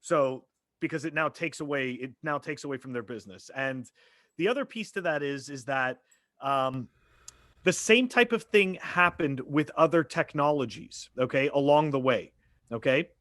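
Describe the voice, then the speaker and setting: A man in his forties speaks on a podcast in a somewhat high voice. The sound is dry and close. He halts often, leaning on "you know" and "okay?". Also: fairly bright tone, no room sound. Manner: halting, with many "you know" and "okay?" fillers. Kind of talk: casual podcast discussion.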